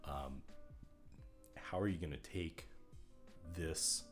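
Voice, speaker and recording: A man's voice: extremely low pitch, takes it slowly, soft background music. A young man co-hosting a casual podcast, rambling and repeating words as he starts sentences, laughing often. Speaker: podcast co-host.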